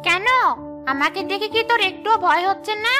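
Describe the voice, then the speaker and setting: Woman voicing a shrill, cackling cartoon ghoul. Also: normal speed. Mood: menacing. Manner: taunting, cackling. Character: gloating, menacing, greedy man-eating female ghost. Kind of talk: exaggerated cartoon acting. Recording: music bed under the voice.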